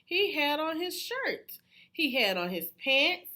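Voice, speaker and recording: A woman reading a children's picture book aloud in an animated way, pausing to ask questions of young listeners. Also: high voice. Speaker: read-aloud storyteller.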